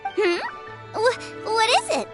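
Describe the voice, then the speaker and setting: Upbeat girl cook from a game, super high pitched, frank n reassuring, music playing under. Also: big pitch swings, slow pace, exaggerated voice. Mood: cheerful, curious. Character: upbeat, kind, frank young girl chef. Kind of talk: video game voice acting.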